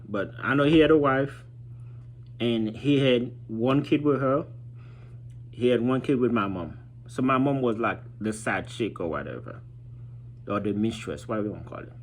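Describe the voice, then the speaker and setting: A man, telling a personal story informally as a vlogger, halting and reflective as he searches for words. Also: mid-range voice, a little hiss or hum. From Haiti. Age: 30s.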